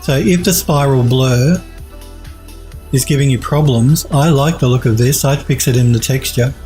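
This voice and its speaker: Man, 40s, stuffed-up nasal head cold, warm-toned enthusiastic streamer, faint music behind. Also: mid-range voice, normal speed. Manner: enthusiastic, repeats praise, restarts. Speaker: 3D art streamer reviewing viewer work. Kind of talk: casual live-stream critique. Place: home streaming room, indoors.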